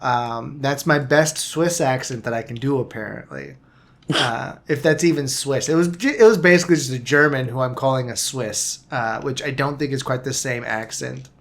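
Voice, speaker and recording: A man's voice: medium pitch, normal speed, creaky. Rambling male soccer podcast host. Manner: rambling, with frequent restarts and self-corrections. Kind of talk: casual sports podcast chat.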